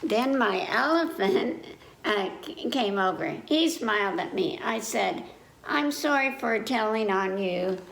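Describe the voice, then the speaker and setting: A woman's voice: medium pitch, animated, slow, vocal fry, a bit bright. A woman leading a story time for young children, reading aloud in simple child-directed speech and putting questions to her listeners. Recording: roomy echo, faint background noise.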